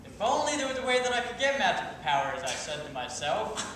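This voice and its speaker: An offhand princess in a tower acted by a man for an improv comedy show, with a high voice and casual, easily bored delivery. The sound is distant and very echoey, with clear background noise. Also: very animated, fast, a bit bright. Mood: offhand, puzzled. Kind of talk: improv comedy storytelling on stage. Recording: distant mic, echoey noisy room.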